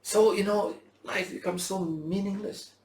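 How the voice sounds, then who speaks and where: A man's voice: high-pitched, fairly even intonation, unhurried, darkish tone. A man giving an informal Bible lesson as a teacher, conversational, posing rhetorical questions and checking in with his listeners. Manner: dramatizes imagined speakers' words in everyday language. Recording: roomy, echoing teaching space.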